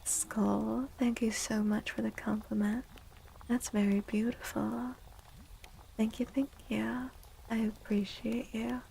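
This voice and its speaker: Female ASMR streamer, soothing and whispery, with creaky edges and a mellow tone, close-miked over faint noise. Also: on the high side, flat delivery, low-quality recording. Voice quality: breathy, often whispery. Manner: gentle, soothing, unhurried. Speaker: livestreamer reading tarot for viewers. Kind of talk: soft-spoken ASMR.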